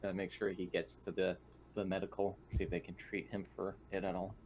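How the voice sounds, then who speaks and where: A man's voice: deep voice, level tone, fairly quick, darkish tone, low-quality recording. A man playing a tabletop roleplaying game, chatting casually over an online voice call. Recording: faint noise on online voice chat.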